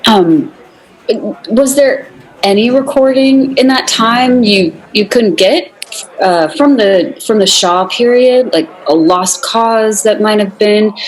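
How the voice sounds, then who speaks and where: Husky, hesitant interviewer. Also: female voice, medium pitch, takes it slowly, poor audio. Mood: tentative, curious. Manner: hesitant, tentative. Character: hesitant, accommodating female interviewer. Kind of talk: radio drama, naturalistic interview.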